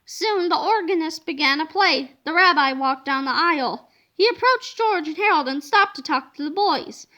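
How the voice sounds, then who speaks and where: A woman narrates a children's story with a very high, bright voice. Her delivery is expressive and emphatic, every phrase shaped for young listeners. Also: moderate pace. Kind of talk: expressive children's book read-aloud.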